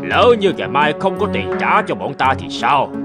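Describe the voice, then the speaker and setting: A man's voice: medium pitch, very animated, normal speed, over music, lightly acted. A man voicing a brash, cruel debt collector in a fairy-tale cartoon, sneering and threatening like a bully. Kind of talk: animated fairy-tale acting.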